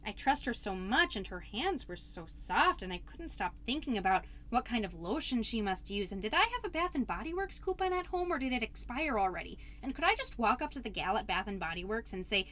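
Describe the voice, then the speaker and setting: A suburban PTA mom hosting her own comedy podcast. Her voice is high and sharply bright. She rambles earnestly, doubting herself, and reaches for grand declarations. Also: very animated, brisk, few pauses, a little hiss or hum. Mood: anxious, rambling. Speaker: podcast host character. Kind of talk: comedic confessional monologue.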